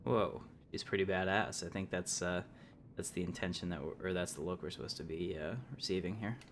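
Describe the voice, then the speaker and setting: A man hosting a livestream, casually thinking aloud as he plays, in a halting, unhurried, musing way. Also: sits low, fairly even intonation, background noise. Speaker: livestream host. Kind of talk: casual live game commentary, thinking aloud.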